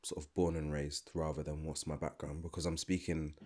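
A man's voice: very deep voice, little pitch movement, a little fast, darkish tone. A young man with a London accent, talking casually and thoughtfully on a podcast, often restarting his sentences. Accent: London English. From London, England. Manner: thoughtful, rambling with restarts and asides. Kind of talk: casual chat.

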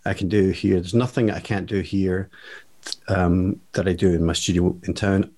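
A man's voice: sits low, fairly even intonation, normal speed, darkish tone. A man talking casually as a podcast guest, with a jokey, self-mocking, playful manner, recorded on a microphone in a home music studio. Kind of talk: casual chat. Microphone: studio microphone with headphones.

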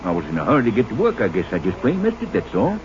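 A man's voice: mid-range voice, wildly swooping pitch, rapid-fire, slight background noise. An actor playing a defensive, indignant country father under suspicion, in 1950s radio crime drama style with folksy phrasing. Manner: folksy, protesting, evasive. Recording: dull, lo-fi old radio recording.

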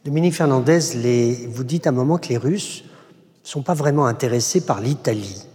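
A man interviewing an author, asking measured and probing questions. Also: mid-range voice, warm tone, in a large hall.

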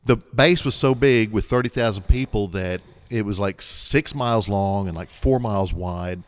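A man's voice: on the low side, moderate pace. A middle-aged man telling anecdotes casually as a podcast guest, nonchalant and acting out bits of dialogue. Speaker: podcast guest, air traffic controller.

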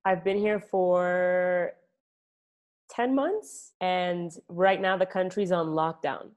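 A woman's voice: fairly low pitch, takes it slowly, darkish tone. A woman in her late twenties, born in Egypt and raised in New York, talking candidly and reflectively as an interview guest, with many "like" fillers. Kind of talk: casual conversation.

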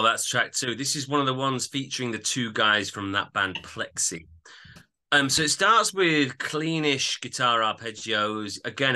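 A man's voice: mid-range voice, sharp tone. A man co-hosting a podcast, chatting casually and agreeably as he reviews an album.

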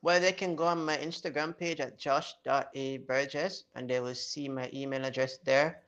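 A man's voice: medium pitch, moderate pace, smooth, muffled recording. A young man from Jamaica, telling stories animatedly as an interview guest. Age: young adult. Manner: animated storyteller, mimics voices. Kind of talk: casual interview chat.

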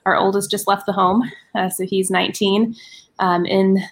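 A woman in her forties, a ministry director, talking earnestly in a casual interview, often pausing with fillers and restarting her sentences. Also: mid-range voice, flat delivery. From United States.

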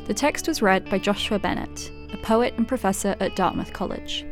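Woman narrating over clear guitar music. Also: medium pitch, moderate pace. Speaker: podcast host reading credits. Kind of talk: scripted narration.

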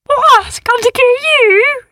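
A woman doing a calm little girl beast. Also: very high voice, takes it slowly, no echo, studio quality, pitched above the performer's own voice, exaggerated voice. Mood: indignant.

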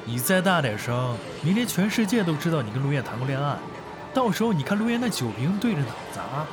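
Audio drama: wary friend, lively intonation, admonishing, nagging, music underneath. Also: male voice, fairly high pitch, quick pace. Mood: warning, anxious. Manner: scolding, admonishing. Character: nagging, cautious male drinking companion.

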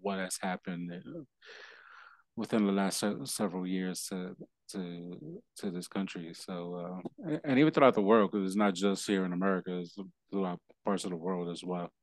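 A man hosting an interview, speaking in a halting way, with many restarts and repeated words. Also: low voice, dry recording, low-quality recording. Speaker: interview host. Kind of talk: casual interview chat.

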